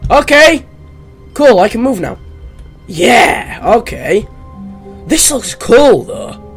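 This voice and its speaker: A young man with a high, strained, hoarse voice rambles loosely through his gameplay commentary, full of fillers. He talks into a desktop mic from a room at home. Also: very animated, slow pace, a bit bright, faint music behind. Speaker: gaming YouTuber.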